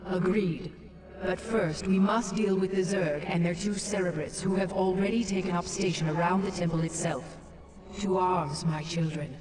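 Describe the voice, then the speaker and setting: An actress playing an ancient, wise alien matriarch in a video game, speaking in formal, solemn, measured oratory. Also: female voice, on the low side, steady tone, close to an everyday voice. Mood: grave, commanding. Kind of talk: scripted video game dialogue. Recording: loud music behind.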